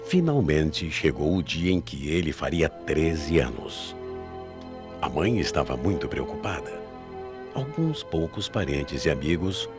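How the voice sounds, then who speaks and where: A man narrating a radio mystery play in a grave, measured, dramatic storytelling manner. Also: a bass voice. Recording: AM radio drama with loud music behind.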